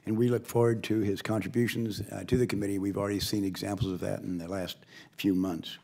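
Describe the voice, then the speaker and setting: An older man with a hoarse voice, reading a formal opening statement as committee chairman with occasional asides. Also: fairly low pitch, flat delivery, fast, darkish tone.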